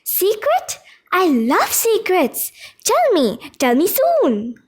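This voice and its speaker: Extremely high, eager little bumblebee. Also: female voice, huge pitch swings, takes it slowly, exaggerated voice. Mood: excited, eager. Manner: eager, pleading, childlike. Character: eager young bumblebee puppet, excitable and childlike.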